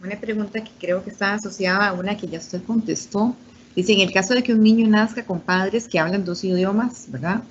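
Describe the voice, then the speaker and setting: A woman's voice: medium pitch, fairly even intonation, fairly quick, dull audio, slight background noise. A woman moderating an online talk, reading out audience questions in a brief, businesslike way.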